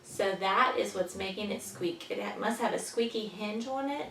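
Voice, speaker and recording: A woman teaching young children, patiently explaining in simple words and pausing after questions, as in a story lesson. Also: mid-range voice, gravelly, a bit bright. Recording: echoey room, distant mic, faint noise.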